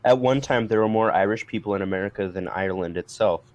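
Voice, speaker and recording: A man in a casual group debate, challenging others in a methodical, halting way on a noisy recording. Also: fairly low pitch, muffled recording.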